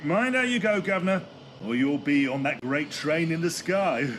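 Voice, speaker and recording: A man's voice: fairly high pitch, animated, moderate pace, fairly bright tone, slight background noise. A man playing a cheeky, chirpy working-class Edwardian railway station master in a comic audio drama.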